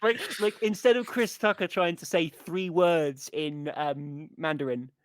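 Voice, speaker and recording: A man co-hosting a podcast, casually discussing a film with sweary, animated retelling. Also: on the high side, measured pace, no echo. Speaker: podcast co-host.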